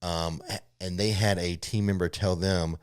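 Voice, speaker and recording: A man's voice: low-pitched, fairly even intonation, normal speed, smooth. A man co-hosting a casual, unscripted talk, explaining things informally and matter-of-factly with some hedging, as he answers viewer questions. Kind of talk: casual unscripted theme park news chat. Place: studio.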